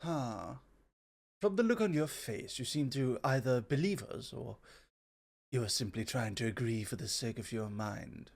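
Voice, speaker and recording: A man acts as an immortal god of wondrous innovation in an ASMR roleplay. The voice is medium in pitch and very unhurried. The delivery is ornate and proud, with a gently teasing, courtly air. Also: animated, gravelly.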